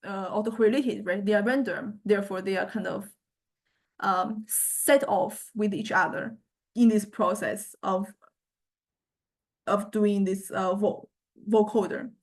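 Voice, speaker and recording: A woman teaching music technology in an informal software demonstration, thinking aloud and explaining tentatively in a halting way. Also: medium pitch, fairly even intonation. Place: recorded software lesson.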